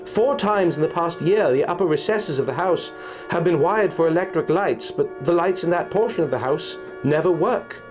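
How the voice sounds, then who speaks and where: A man's voice: a little high, normal speed, music in the background, pitched above the performer's own voice, natural voice. A man voicing a fierce, resolute young noblewoman in an audio story, speaking with intensity. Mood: grave. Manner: intense, pleading then commanding.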